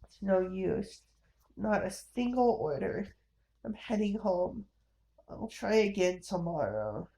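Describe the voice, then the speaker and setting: Woman voicing a weary, slow-spoken embittered war veteran. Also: mid-range voice, animated, gravelly, warm tone, low-quality recording. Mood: weary, discouraged. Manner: weary, downhearted, plain-spoken. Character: embittered one-legged war veteran glass seller, middle-aged man.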